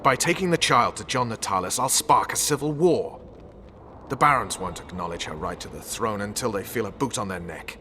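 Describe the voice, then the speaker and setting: A man's voice: on the low side, expressive, moderate pace, bright, forceful tone, a little hiss or hum. A male actor playing a hard, vengeful special forces commander in a video game, speaking in a stern, blunt, resolute manner. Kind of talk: dramatic video game acting.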